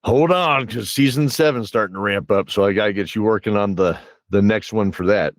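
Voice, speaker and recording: A man's voice: lowish voice, a little fast, dry recording, clean studio sound. A man hosting a casual podcast interview, chatting conversationally with his guest. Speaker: podcast host and producer.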